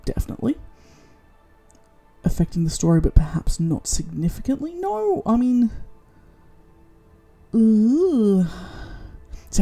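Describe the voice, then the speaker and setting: A young Australian woman from Tasmania, chatting casually and thinking aloud as she rates her own writing, self-deprecating and quick to laugh at herself. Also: mid-range voice, warm tone, husky. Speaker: vlogger. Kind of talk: casual self-rating chat.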